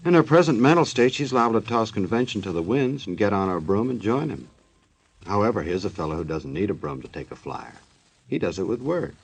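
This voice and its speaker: Husky, animated wisecracking narrator man. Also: on the low side, brisk, heavily acted, low-quality recording. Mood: mocking. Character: wisecracking, sardonic radio narrator full of slangy puns. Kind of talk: 1940s radio narration.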